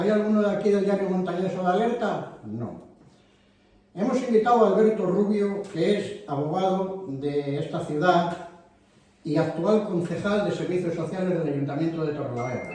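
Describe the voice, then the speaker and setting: Man organizing a local meeting, mellow voice, rambling and indignant, captured from afar in a very echoey hall. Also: a little high. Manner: animated, rambling, indignant asides. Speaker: event organizer. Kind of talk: addressing a live audience. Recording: very echoey hall, distant mic.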